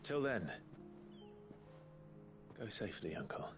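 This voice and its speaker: Earnest fantasy game hero: low, slow, solemn, resolute, over prominent music. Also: male voice, very animated. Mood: fond. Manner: solemn, measured, determined. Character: earnest, resolute young nobleman and freedom fighter. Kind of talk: dramatic video game acting.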